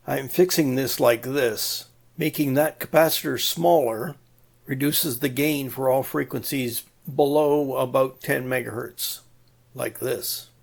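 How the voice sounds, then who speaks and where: Male hobbyist presenter, unhurried and slightly gravelly, plain-spoken, walking step by step through technical work. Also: medium pitch. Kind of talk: explanatory DIY electronics narration.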